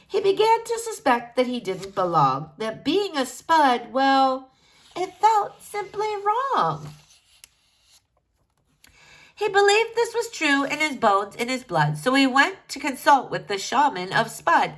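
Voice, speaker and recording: A woman's voice: high voice, very animated, slow pace, a bit bright. A woman reading a rhyming children's story aloud, theatrically, with sing-song emphasis. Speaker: storybook reader.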